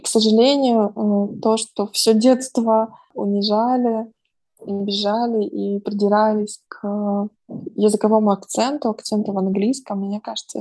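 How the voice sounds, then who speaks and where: A woman's voice: medium pitch, warm tone. A woman, a psychologist chatting casually and reflectively, thinking aloud with frequent fillers. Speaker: podcast host, psychologist. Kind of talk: casual conversational chat. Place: home.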